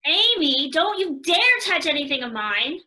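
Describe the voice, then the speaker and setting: A bright, dramatic, hot-tempered teenage sister. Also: female voice, sits high, big pitch swings, moderate pace, exaggerated voice. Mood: indignant. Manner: dramatic, accusing. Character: Joe, hot-tempered resentful teenage sister and young writer. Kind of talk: radio play acting.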